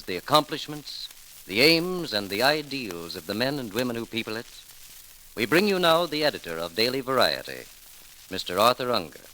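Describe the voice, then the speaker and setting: Through the hiss and crackle of an old 1930s radio recording, an American man announces formally. His bright, forceful voice is solemn and declamatory, swinging widely in pitch. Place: radio broadcast.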